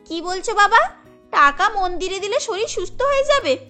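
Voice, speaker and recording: A woman's voice: very high voice, animated, moderate pace, bright, forceful tone, faint music behind, pitched above the performer's own voice, full character voice. A woman voicing a haughty, money-proud rich young daughter in a cartoon, snapping in a scornful, bossy way. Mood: dismissive.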